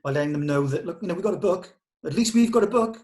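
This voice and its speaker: A man leading a script table read as director, giving notes in a measured, diplomatic and explanatory way. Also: high voice, expressive, darkish tone. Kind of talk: giving notes to actors and writer.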